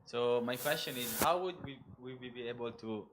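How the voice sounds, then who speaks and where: Male audience member, mid-range voice, halting question with fillers. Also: medium pitch, fairly bright tone, a little hiss or hum, low-quality recording.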